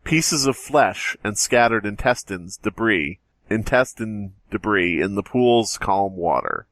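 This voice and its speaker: A man's voice: fairly low pitch, expressive, takes it slowly, fairly bright tone, no room sound, poor audio. A man co-hosting a podcast, bantering casually and reading stories aloud with a joking, sweary manner. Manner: profane, joking. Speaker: podcast co-host.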